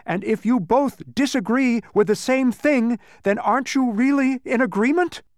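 An earnest, thoughtful storybook boy, voiced by a man. The pitch is raised well up and moves in lively swings. He sounds politely persistent, in a dry recording. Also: unhurried, dry recording. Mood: triumphant.

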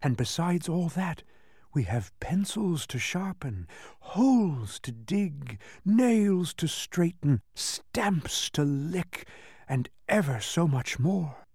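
A man voicing a sly demon villain of petty tasks in a storybook, menacing and falsely soothing, with drawn-out, sneering delivery. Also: on the low side, lively intonation, a little mellow, husky, no room sound, studio quality. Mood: soft, coaxing.

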